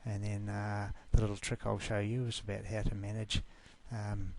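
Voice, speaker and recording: A man from New Zealand with a New Zealand accent, giving an easygoing, informal screencast walkthrough of a software class. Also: lowish voice, level tone, moderate pace, gravelly, slight background noise.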